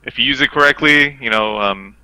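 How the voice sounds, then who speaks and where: A man's voice: mid-range voice, a little fast, sharp tone, clear, resonant tone, no echo, low-quality recording. A man casually discussing a fighting game, explaining things in detail as a guest on a talk show.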